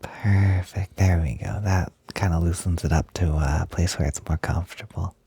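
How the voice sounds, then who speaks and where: A man playing an excited, doting, gentle young roommate in an intimate roleplay audio, soft-spoken and breathy, hesitant but gushing and reassuring. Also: sits low, moderate pace, no echo, heavily acted. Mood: gentle, attentive.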